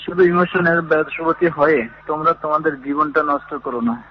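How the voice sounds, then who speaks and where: A young man offers earnest advice as a student listener. His pitch is a little high. The sound is dull with faint noise behind it. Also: fairly even intonation, normal speed. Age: young adult. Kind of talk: spoken message of advice. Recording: muffled, faintly noisy radio recording.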